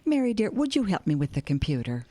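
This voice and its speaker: A woman's voice: lowish voice, big pitch swings, quick pace, mellow, dry recording. An actress playing an airy, stubborn older hippie grandmother with a wry, theatrical manner in an audio comedy drama. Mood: wry, curious.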